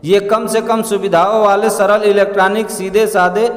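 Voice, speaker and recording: A man reads a scripted explainer in a halting way, addressing listeners as friends. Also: sits high, little pitch movement. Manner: halting read-aloud delivery, often addressing listeners as friends. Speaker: presenter narrating an explainer. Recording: echoey room with long reverb.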